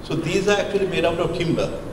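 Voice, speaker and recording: A man's voice: fairly high pitch, fast talker. Sri Lankan man lecturing in echoey hall. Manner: hesitant, searching for words, frequent fillers. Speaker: architect giving a lecture. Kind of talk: presentation with slides. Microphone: handheld mic. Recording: echoey hall with background hum.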